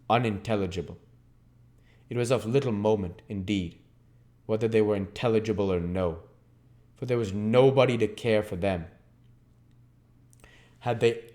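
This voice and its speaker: A man's voice: lowish voice, a little mellow. A man reading a classic novel aloud as a dramatic, emphatic narrator. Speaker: audiobook reader.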